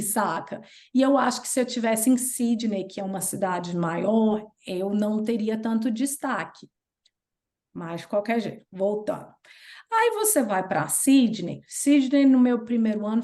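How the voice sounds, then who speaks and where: A Brazilian woman in her thirties, speaking with a Brazilian Portuguese accent and a voice pitched a little higher than most. She chats as an interview guest, telling her stories with lively, playful leaps in pitch. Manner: chatty and animated, playful jumps in pitch when retelling. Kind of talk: casual storytelling chat.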